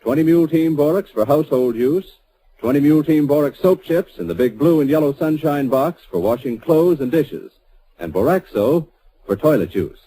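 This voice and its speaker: A man's voice: on the high side, lively intonation, normal speed, mellow, slight background noise, low-quality recording. A man announcing an old-time radio program in a formal manner. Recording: old radio broadcast recording.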